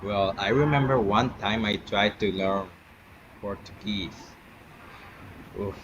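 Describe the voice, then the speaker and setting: A teenage boy from Colombia, a native Spanish speaker, hosts a casual school interview in English. He speaks slowly and deliberately, sometimes restarting words. The recording sounds dull and carries steady background noise. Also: lowish voice. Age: teens. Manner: slow, careful non-native English with restarts. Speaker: student interviewer. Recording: muffled with noticeable background noise.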